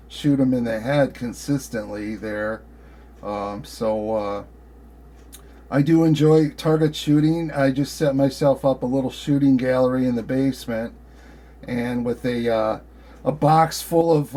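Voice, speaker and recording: A man with an American accent chatting casually and conversationally, in a somewhat halting way. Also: mid-range voice, steady tone, dark tone. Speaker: amateur radio operator. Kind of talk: casual chat.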